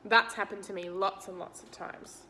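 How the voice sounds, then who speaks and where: A woman's voice: mid-range voice, steady tone, normal speed, pushed and bright, a little hiss or hum. An Australian woman reading a picture book aloud to young children, expressively, talking to them directly with simple explanations and questions.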